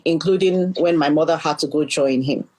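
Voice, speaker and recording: A woman's voice: low-pitched, normal speed, a little mellow. A middle-aged Nigerian woman, a leadership coach, earnestly telling her life story as a podcast guest and often repeating words as she thinks. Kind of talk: storytelling in an interview.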